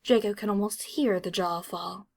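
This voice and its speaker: A woman reading a story as an audiobook narrator, in an expressive storytelling style. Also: mid-range voice, moderate pace, gravelly.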